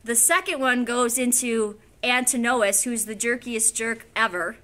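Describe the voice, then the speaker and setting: Female American schoolteacher, forties, high-pitched and forceful, informal classroom delivery. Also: a little slow. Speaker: English teacher. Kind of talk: classroom lesson with reading aloud. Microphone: camera a few feet away.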